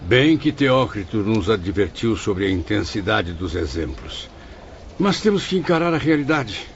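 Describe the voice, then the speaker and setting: A steady, wise pupil in an audiobook drama. The voice is fairly low and moves expressively through formal, earnest phrases, slightly dull in sound. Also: male voice, a little slow, slight background noise. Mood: resigned, consoling. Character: wise, steady male student spirit consoling his friends. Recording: dull lo-fi, faint background ambience.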